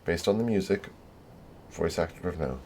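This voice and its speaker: A man's voice: deep voice, lively intonation, moderate pace, mellow, slight background noise. A man doing casual livestream gaming commentary, rambling and sweary with a self-deprecating manner. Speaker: game livestreamer.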